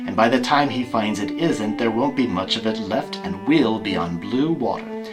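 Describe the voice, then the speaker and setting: A man's voice: fairly low pitch, animated, moderate pace, over music, lightly acted. A man playing a scheming villainous colonel in an audio drama, conspiratorial, clipped and impatient. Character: scheming, tense villainous colonel, leader of crooks. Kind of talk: amateur audio drama acting.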